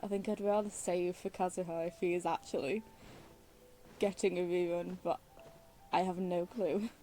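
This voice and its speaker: A woman's voice: lowish voice, fairly even intonation, normal speed, mellow. A woman chatting casually as she plays a video game, rambling and self-deprecating, with some swearing. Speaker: gamer commentating on her own play. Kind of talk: casual chat while playing a video game. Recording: music behind the voice. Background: video game music.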